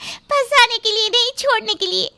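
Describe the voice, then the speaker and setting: A woman performs a feisty young mother-to-be for an audio story. Her voice is very high and sharp, set in a dry, close sound, with a wounded, defiant edge. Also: moderate pace, gravelly, no echo, exaggerated voice. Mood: resolute. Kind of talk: dramatized Hindi moral story dialogue.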